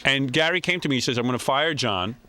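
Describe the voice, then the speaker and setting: A man's voice: medium pitch, expressive, fast talker, background noise. A man hosting a radio talk show, telling stories conversationally on air. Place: radio studio.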